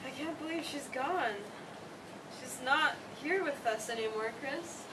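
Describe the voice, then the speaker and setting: A woman's voice: high voice, a little slow. A woman playing an emotional, guilt-ridden eldest daughter in a staged play reading. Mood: grieving, guilty. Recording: distant mic, noisy room.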